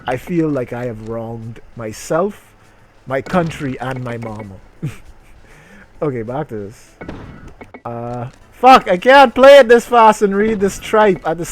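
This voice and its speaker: A man doing a mocking dramatic reading with asides, sarcastic, profane and exclamatory. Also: fairly high pitch. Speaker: streamer reading aloud.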